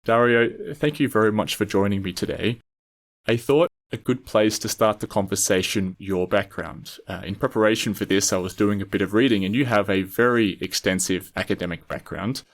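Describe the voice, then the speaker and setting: Low-voiced Australian podcast host. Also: male voice, moderate pace, dry recording. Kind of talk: scripted intro then interview questions.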